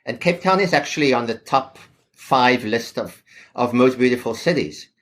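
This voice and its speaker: A man's voice: medium pitch, expressive, moderate pace, fairly bright tone. Man, thoughtful podcast guest. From South Africa. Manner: thoughtful, hesitant with fillers. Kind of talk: podcast interview.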